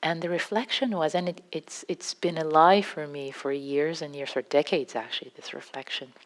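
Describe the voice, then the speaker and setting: A woman with a Farsi accent, a meditation teacher giving an unscripted dharma talk in a gentle, halting way with many restarts. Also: low-pitched, fairly even intonation. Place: meditation hall.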